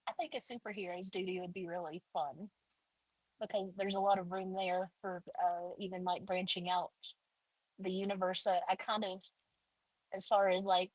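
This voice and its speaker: A woman author answering interview questions in a relaxed, hesitant way, with many fillers. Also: medium pitch, normal speed, dry recording, dull audio. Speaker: author guest.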